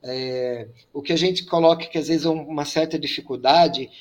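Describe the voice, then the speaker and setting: A priest speaking as a guest on a panel, explaining migration rules in a measured way with frequent fillers. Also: male voice, on the high side, fairly even intonation. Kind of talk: panel discussion.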